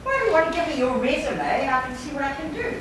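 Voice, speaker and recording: A woman's voice: high-pitched, very animated, quick pace, background noise. A woman acting a quirky manager in an amateur stage play, with a theatrical sing-song delivery. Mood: friendly. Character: quirky friendly woman manager who sings her sentences. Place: indoor theatre stage before an audience. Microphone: far camera. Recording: echoey theatre stage, distant camera mic, music.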